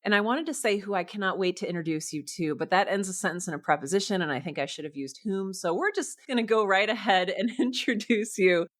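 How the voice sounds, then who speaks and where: A woman with a mid-pitched voice hosts a conversational interview podcast. She is effusive and chatty, speaking with open enthusiasm. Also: quick pace, hardly any pauses. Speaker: podcast host.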